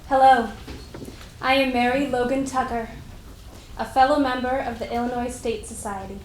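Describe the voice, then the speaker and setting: A woman playing a dignified, proud senator's daughter, giving a formal, measured first-person dramatic reading. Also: fairly high pitch, fairly even intonation, close to an everyday voice. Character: Mary Logan Tucker, dignified senator's daughter, proud society member. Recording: echoey room with faint noise.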